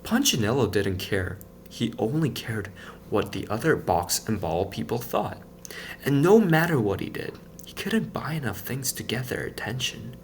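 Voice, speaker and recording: A man reading a children's picture book aloud in an expressive, playful storytelling manner. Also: medium pitch, normal speed, a little hiss or hum.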